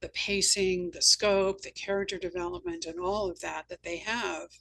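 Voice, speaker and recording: A woman's voice: fairly low pitch, steady tone, normal speed, vocal fry, fairly bright tone. A woman hosting an interview, telling anecdotes in an earnest, emphatic conversational way. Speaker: host and novelist.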